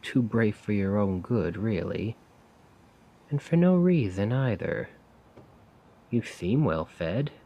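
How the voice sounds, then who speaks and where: Man acting a slow, brooding, weary poet. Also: fairly low pitch, animated, warm tone, heavily acted. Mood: irritated, weary. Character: weary, self-doubting failed male poet, gruff yet gentle. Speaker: roleplay audio performer. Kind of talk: soft-spoken ASMR roleplay monologue.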